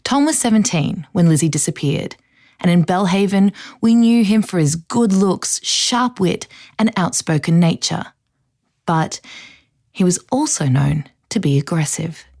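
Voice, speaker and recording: An actress playing a female true-crime podcast host, narrating in a measured, insinuating, dramatic way from a script. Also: lowish voice, a little mellow, no echo, studio quality.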